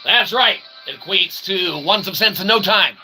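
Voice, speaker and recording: A man hosting a comedy show in a rambling, mock-earnest monologue, halting and trailing off between phrases. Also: high voice, bright, forceful tone, faint music behind, poor audio. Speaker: comedy show host and author.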